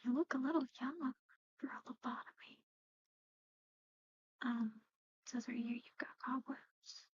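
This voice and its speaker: A woman doing an ASMR roleplay, speaking softly and in whispers, with a hesitant, halting, gently conversational manner. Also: a little high, husky, dry recording, highs cut off.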